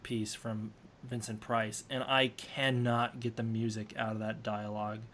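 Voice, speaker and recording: A man's voice: fairly low pitch, flat delivery, a little slow, fairly bright tone. A young man who puppeteers and does voice work, chatting eagerly about his video project and laughing often. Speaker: puppeteer and voice actor. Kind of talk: casual chat.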